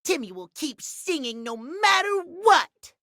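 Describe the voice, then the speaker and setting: A man's voice: extremely high pitch, very animated, slow, pushed and bright, no room sound, studio quality, full character voice. A man voicing a vain, needy, childish male cat clock in a video game, using pouty third-person baby talk. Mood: pleased, proud. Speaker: voice actor. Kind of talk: video game character acting.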